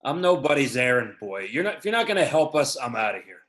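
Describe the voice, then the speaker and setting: A man's voice: medium pitch, big pitch swings, fast talker, a bit bright. A man playing surly crude Rusty. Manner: gruff, crude. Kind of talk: livestream script reading.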